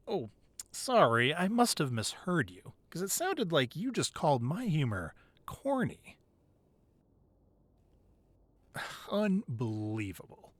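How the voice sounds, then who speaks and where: A man playing a weary but devoted, protective crime boss boyfriend in an intimate ASMR roleplay, speaking softly and close, at times breathy. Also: mid-range voice, no room sound. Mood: teasing. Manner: soft, intimate, drifting into breathy whispers.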